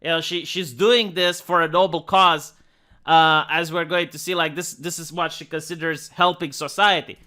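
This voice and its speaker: A man with a Romanian accent talks straight to his audience in an earnest, emphatic commentary. His voice sits high and sounds bright and forceful. He often restarts words and leans on "like" as he pushes his point. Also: steady tone, normal speed, clean tone. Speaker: online commentator. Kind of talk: commentary video monologue.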